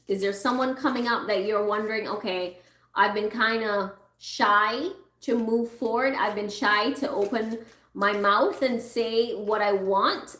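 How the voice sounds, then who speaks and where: Chatty woman reading tarot, slightly hoarse. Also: fairly high pitch, fairly bright tone, echoey room. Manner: chatty, reassuring, thinks aloud while pulling cards. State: losing her voice, clearing throat. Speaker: tarot reader. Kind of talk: casual tarot reading to viewers.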